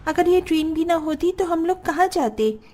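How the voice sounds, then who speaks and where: Cartoon drama: female actor as sweet young sister, high-pitched, hopeful and sincere. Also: animated, moderate pace, a little mellow. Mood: earnest, hopeful. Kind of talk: Hindi cartoon family drama voice acting.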